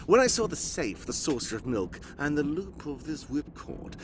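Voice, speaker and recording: A man acting a dry, animated radio detective. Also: a little high, moderate pace, background noise. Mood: explaining, assured. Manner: formal, explanatory, dry wit. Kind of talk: live old-time radio drama acting.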